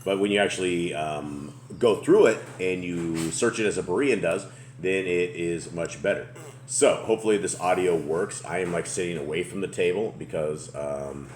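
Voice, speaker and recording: A man with a very deep, booming voice leads a casual scripture discussion, often rambling and restarting his sentences. His voice is low in pitch, and faint background noise sits under the recording. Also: moderate pace. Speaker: host. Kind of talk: casual Bible study chat.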